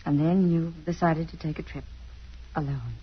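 A woman's voice: low voice, steady tone, normal speed, slightly rough. An actress in an old-time American radio drama, playing an earnest woman in love and torn by conscience. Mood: gently teasing. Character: earnest, lovestruck female concert musician, torn by conscience. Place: radio theatre stage. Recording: muffled, hissy vintage radio recording.

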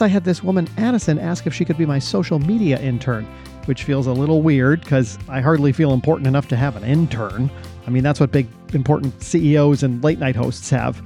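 A man's voice: on the high side, mellow. Upbeat man hosting a podcast. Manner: earnest, upbeat, eager interviewer. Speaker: podcast host. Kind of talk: improvised satire podcast hosting. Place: podcast studio.

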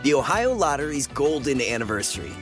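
Commercial announcer, mid register, animated celebratory read, prominent music bed. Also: mid-range voice, fairly quick. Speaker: advert announcer.

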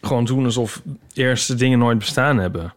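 A man's voice: mid-range voice, moderate pace. A man co-hosting a podcast, chatting casually and often searching for words. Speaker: podcast co-host. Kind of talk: casual chat.

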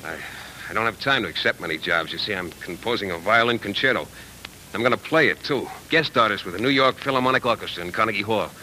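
A man's voice: quick pace, bright, forceful tone. A man playing a proud, touchy, cynical yet earnest struggling violinist in 1940s radio drama style. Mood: defensive, earnest. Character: skinny, proud, touchy young struggling New York violinist. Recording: noisy old radio broadcast with rumble.

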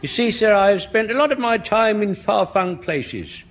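Elderly English corporal, high-pitched, rambling and excitable, old sitcom recording with clear background noise. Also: male voice, moderate pace. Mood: eager, rambling. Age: older. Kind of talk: British sitcom acting before an audience. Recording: noisy old radio comedy recording.

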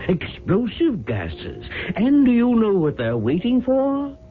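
Swooping, smug professor schemer, old radio. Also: male voice, high voice, wildly swooping pitch, exaggerated voice. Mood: gleeful, lecturing. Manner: pedantic, chuckling, theatrical with drawn-out emphasis. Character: genial, smug male professor cheerfully plotting sabotage. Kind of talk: 1970s radio drama acting. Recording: phone-like vintage radio recording, faint music.

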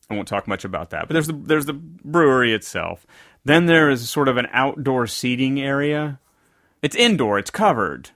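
A low-pitched man from the American South co-hosts a casual podcast. His delivery is rambling and conversational, full of restarts and asides. The recording is dry and close. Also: pushed and bright, dry recording. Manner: rambling, self-correcting storyteller. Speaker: podcast co-host.

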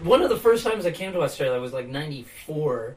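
A man's voice: fairly high pitch, sing-song, fast talker, recorded from a distance, a crowd in the background. A Canadian man in his thirties, a comedian guest on a podcast, telling stories in a dry, wry way. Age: 30s. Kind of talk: casual storytelling chat.

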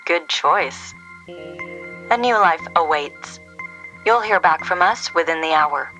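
An actress playing a cool, cryptic answering-machine voice for a secret agency in an audio drama, measured, clipped and ominous. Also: female voice, fairly low pitch, expressive, pushed and bright, soft background music, full character voice, rough recording. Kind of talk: scripted audio drama phone greeting.

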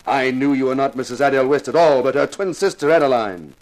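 An actor playing a wry, world-weary television star of a detective show, in 1950s radio drama style, dramatic and wisecracking. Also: male voice, mid-range voice, fast, natural voice. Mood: hard-boiled, triumphant. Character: wry, world-weary male TV actor who plays a hard-boiled inspector. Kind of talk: 1950s radio detective drama acting with first-person narration.

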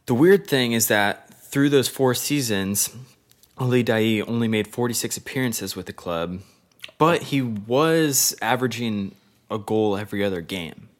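A man co-hosting a casual sports podcast, reading out player stats with a loose, jokey manner and halting restarts. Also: medium pitch. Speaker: podcast co-host.

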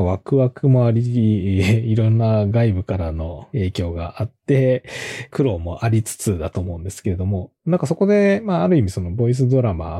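A man's voice: lowish voice, warm tone, slightly rough, dry recording. A man hosting a casual podcast interview, listening attentively and offering frequent repeated acknowledgements. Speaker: podcast host interviewing a guest.

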